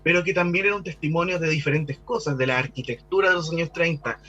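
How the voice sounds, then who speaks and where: A man's voice: a little high, quick pace, bright, forceful tone, dull audio. A man with a Chilean accent speaking as a guest expert, explaining in a conversational, discursive interview style with some repeated words. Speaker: guest expert on local architectural history.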